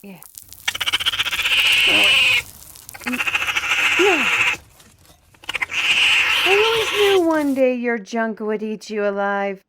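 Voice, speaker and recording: A woman acting as a wry fantasy adventurer in an audio play. The voice is high and takes its time, dryly teasing, with the exasperation of a long-suffering friend. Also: slow pace, very noisy. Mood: exasperated, teasing. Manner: dry, teasing.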